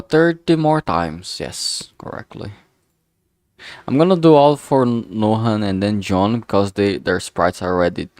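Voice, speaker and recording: A man thinking aloud in a halting way, chatting casually on a solo livestream as he works. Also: lowish voice, gravelly, a little mellow, dry recording, clean studio sound. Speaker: streamer making a visual novel. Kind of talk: casual solo livestream chat while working.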